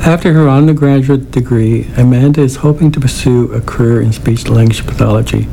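Host, male, stutters; warm low-toned voice, slight background noise. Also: fairly quick, vocal fry, poor audio. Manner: stutters, with repetitions and blocks. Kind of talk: casual talk and poetry reading.

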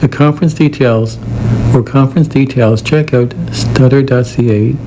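A man hosting a community radio show who stutters, with repeated sounds and pauses as he talks. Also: mid-range voice, very noisy. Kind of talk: community radio talk and announcement.

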